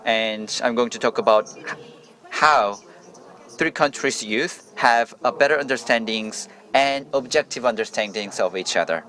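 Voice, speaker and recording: Male simultaneous interpreter, mid-pitched, halting delivery with frequent restarts. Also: bright, forceful tone. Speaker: interpreter voicing a journalist's speech. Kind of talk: simultaneous interpretation of a forum presentation.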